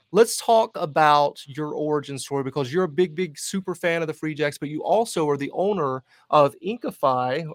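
Casual male show host, fairly high voice. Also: dry recording. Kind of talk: casual interview chat.

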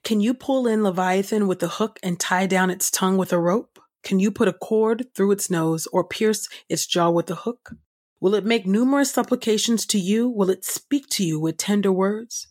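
A woman host reading scripture aloud from a script in a measured, solemn, clearly enunciated way. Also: medium pitch, darkish tone. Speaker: podcast host and scripture reader. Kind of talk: scripted audio Bible reading.

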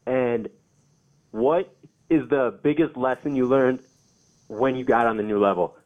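Eager teen caller over a tinny phone line. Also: male voice, medium pitch, a little slow. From Minnesota. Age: teens. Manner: eager, a bit starstruck.

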